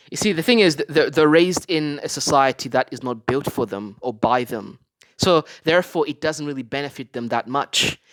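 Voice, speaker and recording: A man from Africa giving reaction commentary on a video, lecturing his viewers with frequent restarts and fillers. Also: mid-range voice.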